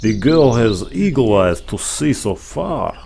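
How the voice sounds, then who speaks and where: Greedy, rude bear for a children's tale: slow, growly, gravelly, blustering. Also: male voice, mid-range voice, very animated, darkish tone, a little hiss or hum, full character voice. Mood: startled, grumbling. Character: huge rude greedy grumpy male bear. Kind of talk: children's storybook character voice.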